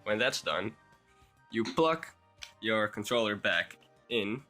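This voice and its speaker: Casting note: young Dutch man, slightly low, bright and forceful tone, under the weather, faint music underneath. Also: expressive, takes it slowly. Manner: rambling, hesitant with restarts. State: sick. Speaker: YouTuber. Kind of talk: game tutorial voice-over.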